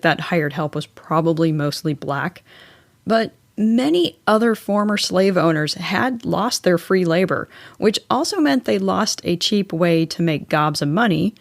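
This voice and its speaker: A woman's voice: fairly low pitch, creaky, no room sound, clean studio sound. An American woman hosting a solo podcast, narrating in a chatty, scripted style with wry, informal asides. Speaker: podcast host.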